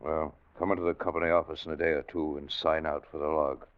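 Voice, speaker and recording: An actor playing a bitter, weary sea captain, gruff and solemn, in an old-time radio drama. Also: male voice, a bass voice, flat delivery, fast talker, background noise. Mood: heavy, resigned. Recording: thin, phone-like vintage radio recording.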